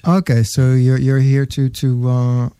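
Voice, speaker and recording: A man's voice: mid-range voice, monotone, a little slow, dark tone, clear, resonant tone, no echo. A man hosting a casual music interview, hesitant, with many ums and restarts. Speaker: host.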